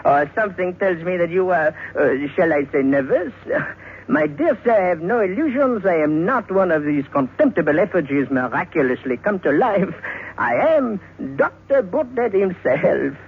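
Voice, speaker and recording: High-voiced, sinister, courteous doctor. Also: male voice, big pitch swings, normal speed, slightly rough, exaggerated voice. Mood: gloating, polite. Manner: mincingly polite, hesitant, chuckling, menacing. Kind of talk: 1940s radio horror acting. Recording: tinny vintage radio recording, music behind.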